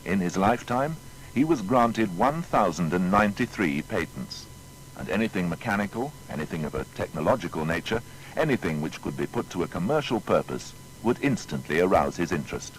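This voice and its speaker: A man's voice: low-pitched, big pitch swings, a little fast, slight background noise, poor audio. A man narrating a scripted biography in a formal, articulate documentary style.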